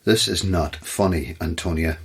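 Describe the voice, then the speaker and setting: Irritable late-night radio host. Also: male voice, low voice, normal speed, noisy background. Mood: curtly impatient. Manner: brusque, exasperated, sardonic. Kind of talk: audio horror drama acting.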